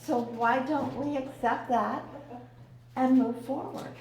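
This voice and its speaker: Resident woman, high and unhurried, reasoned plea; distant, echoey room with crowd. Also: fairly even intonation, a little mellow, a little hiss or hum. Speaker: resident speaking at public comment.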